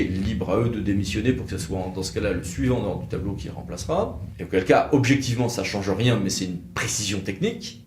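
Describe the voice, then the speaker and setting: A man giving explanatory legal commentary as an expert presenter, halting at times, with wry, sceptical asides. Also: fairly low pitch, a little mellow. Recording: roomy echo, faint background noise.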